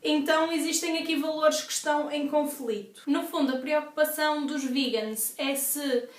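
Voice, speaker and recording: A woman's voice: high voice, steady tone, moderate pace, distant mic. A young woman with a European Portuguese accent from northern Portugal, chatting through a Q&A vlog with a congested, stuffy voice and lots of fillers. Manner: chatty, explanatory, many fillers. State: congested from asthma. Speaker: vlogger. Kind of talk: casual Q&A vlog. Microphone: device camera at a distance. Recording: echoey room, voice off-mic.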